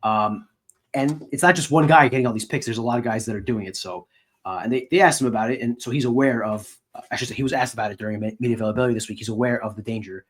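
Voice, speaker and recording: A man's voice: medium pitch, very fast. A man co-hosting a sports podcast, discussing games in a casual, conversational way like a reporter.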